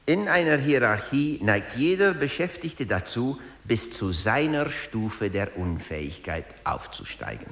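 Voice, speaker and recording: A man's voice: mid-range voice, very animated, a little slow, creaky, few pauses, a bit bright, full character voice. An actor playing a management theorist who narrates his principle in a formal, didactic radio lecture.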